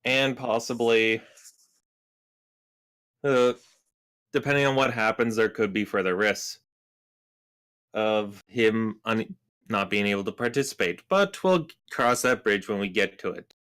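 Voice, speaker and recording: A man leading a tabletop game on a live stream as game master, halting and deliberate, with many pauses and fillers. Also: on the low side, fairly bright tone, smooth.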